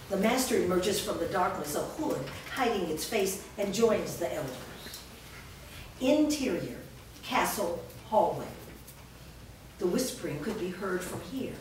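A woman reading scripted stage directions aloud as the narrator of a staged reading. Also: lowish voice. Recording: echoey room, far mic, clear noise.

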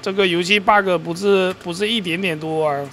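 A man's voice: high voice, fairly even intonation, moderate pace, bright, forceful tone, a little hiss or hum. A man hosting a casual screen-recorded game video, rambling, often correcting himself, and sounding mildly exasperated. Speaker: gaming and crypto-mining video host. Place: voice-over on his computer screen recording.

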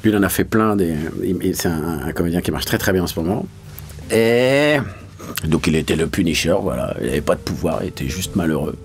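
A man's voice: sits low, fairly quick. A man, a voice actor talking casually in an interview, colloquial and searching for his words. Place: small recording studio.

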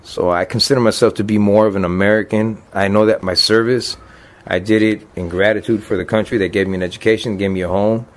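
A man's voice: on the low side, steady tone, fast. A man in his fifties, a deported veteran, speaking plainly and earnestly about his life in a documentary interview. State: choked up.

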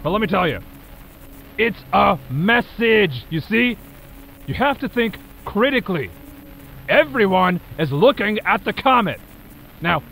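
An actor playing an insistent, condescending conspiracy-minded radio commentator with a preachy, emphatic manner. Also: male voice, sits high, creaky, sharp tone, exaggerated voice, rough recording. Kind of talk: radio rant in audio drama.

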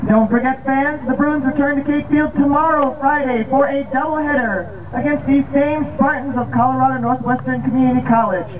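A woman's voice: sits high, fairly even intonation, a little fast, hardly any pauses. Distant, tinny American woman stadium announcer. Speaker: stadium public address announcer at baseball games. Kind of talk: scripted PA announcing of lineups, promotions and game updates. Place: outdoor baseball field, heard over the PA system. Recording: tinny distant ballpark loudspeaker, outdoor noise.

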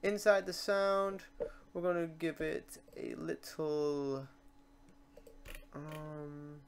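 A tutorial host chatting informally and thinking aloud in a meandering way while working through software steps. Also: medium pitch, flat delivery, drawn-out words. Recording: noisy room, keyboard and mouse clicks. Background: typing and mouse clicking.

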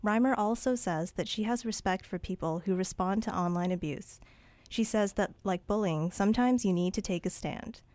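A woman's voice: mid-range voice, normal speed, darkish tone, dry recording. A woman hosting a campus radio show, reading a scripted introduction of her guests. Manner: reads from a prepared script. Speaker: radio host. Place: radio studio. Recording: dry studio sound.

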